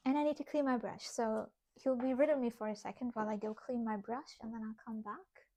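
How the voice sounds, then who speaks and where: Brief: young woman, German accent, slightly high voice, loose chatty livestream talk, reads chat while she works, giggles a lot. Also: fairly even intonation, fairly quick, dark tone. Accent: German, with some British English. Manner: chatty, laughs often, talks to herself while working. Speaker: streamer. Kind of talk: casual craft livestream chat, reading chat aloud.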